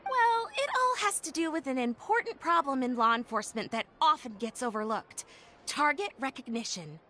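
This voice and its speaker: A woman voicing an enthusiastic young female tech inventor in a video game, with an upbeat pitchman's patter. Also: sing-song, normal speed, bright, forceful tone, slight background noise, exaggerated voice. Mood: explaining. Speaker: game character.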